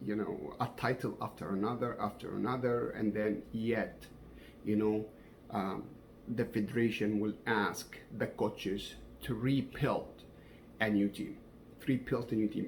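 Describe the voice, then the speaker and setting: Man from Egypt, middle-aged, low voice, gravelly, halting speech with many fillers, home recording, faint hiss. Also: a little mellow. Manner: halting, many fillers, non-native grammar. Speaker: fencing coach sharing opinions. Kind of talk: casual talk to camera.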